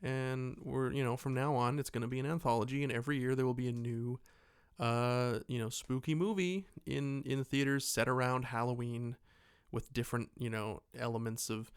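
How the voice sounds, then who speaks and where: A man with an average-pitched, clean and resonant voice talks casually as a podcast co-host. He rambles through his story, jokes dryly and acts out little exchanges. The sound is dry, with no room to it. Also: steady tone, normal speed, few pauses, clear, resonant tone, no echo. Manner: joking, sarcastic asides. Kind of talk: casual movie discussion.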